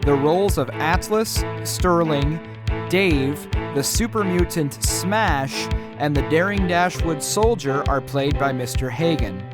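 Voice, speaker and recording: A man's voice: a little high, expressive, slow pace, loud music behind the voice. A man reading scripted show credits as an announcer.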